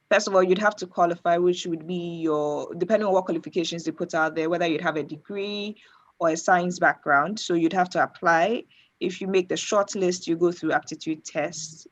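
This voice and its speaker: A woman explaining things off the cuff in a panel discussion, pausing to find her phrasing. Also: fairly low pitch, highs cut off. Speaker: air traffic controller answering questions.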